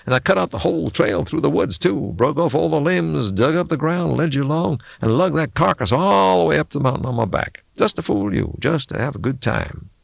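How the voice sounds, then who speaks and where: A man's voice: a little high, expressive, a little fast, gravelly, few pauses, no room sound, pitched above the performer's own voice, exaggerated voice. A man reading an audiobook, voicing an unruffled, sardonic backwoods man who needles and insists in folksy speech. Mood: sarcastic, pressing. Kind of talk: audiobook character dialogue.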